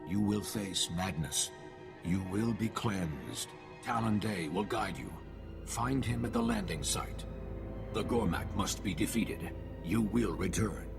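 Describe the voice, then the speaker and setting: A man voicing a stern, terse alien leader in a video game, speaking formally in clipped, grave sentences with a gravelly voice. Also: lowish voice, expressive, unhurried, exaggerated voice. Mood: solemn, commanding. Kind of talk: video game cutscene acting. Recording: loud music under the voice.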